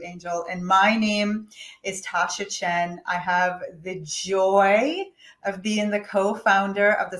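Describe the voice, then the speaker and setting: A woman from Jamaica answers interview questions over a video call in an earnest, reflective manner. Her voice sits at a medium pitch, and the room around her carries a noticeable echo. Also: a bit bright. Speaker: guest, business coach. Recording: roomy echo.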